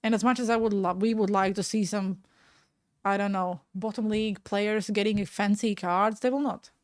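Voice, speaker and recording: A woman's voice: mid-range voice, steady tone, moderate pace, a little mellow, dry recording, clean studio sound. Chatty Latvian-accented woman streamer. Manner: chatty, frank, laughs easily, trails off mid-word. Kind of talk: casual live-stream chat reading viewer comments.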